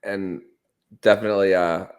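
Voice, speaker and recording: Male sports podcast host, low voice, fairly flat intonation, halting conversational analysis with repeated words. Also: clean tone. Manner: halting, stammering repetition of words.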